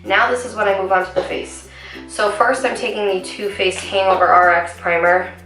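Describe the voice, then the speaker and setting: A woman's voice: mid-range voice, fairly even intonation, moderate pace, a bit bright, far from the mic. A young woman, chatting casually through a makeup tutorial with lots of ums and asides. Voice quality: raspy. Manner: chatty, rambling with many ums. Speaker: beauty vlogger. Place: small room indoors at home. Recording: roomy echo, voice a bit off-mic, faint music.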